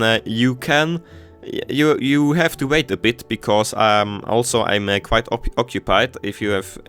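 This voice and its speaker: A man's voice: mid-range voice, a bit bright, clean tone. A young man whose first language is German, chatting casually over his city-building game with many fillers and restarts. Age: teens. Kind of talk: casual gameplay commentary.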